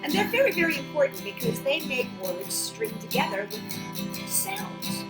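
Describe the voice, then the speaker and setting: Female children's storytime host: high, expressive voice, unhurried read-aloud with playful asides, recorded in a roomy space. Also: over loud music. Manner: animated, playful, chatty asides to young listeners. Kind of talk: picture-book read-aloud for young children.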